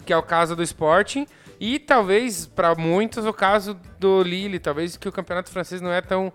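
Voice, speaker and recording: A man's voice: sits high, moderate pace, a bit bright, clear, resonant tone. A Brazilian man giving casual football commentary as part of a panel. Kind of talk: casual sports chat. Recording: background music behind the voice.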